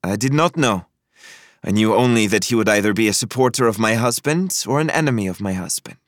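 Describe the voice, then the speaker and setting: A man voicing a composed, forceful Lebanese woman with formal, deliberate phrasing in an audiobook. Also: on the low side, animated, no room sound, clean studio sound, pitched above the performer's own voice, lightly acted. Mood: proud, cool. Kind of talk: audiobook character voice.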